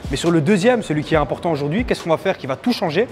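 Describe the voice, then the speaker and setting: Higher-voiced man coaching with playful teasing. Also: lively intonation, very fast, darkish tone, a little hiss or hum. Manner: playful, teasing, motivational asides. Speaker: fitness coach presenting a workout. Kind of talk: casual exercise tutorial. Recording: music under the voice. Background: background music.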